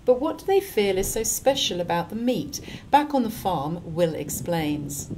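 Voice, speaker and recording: A woman reporter narrating a local news feature with a brisk, light-hearted delivery. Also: mid-range voice, sing-song, darkish tone, a little hiss or hum.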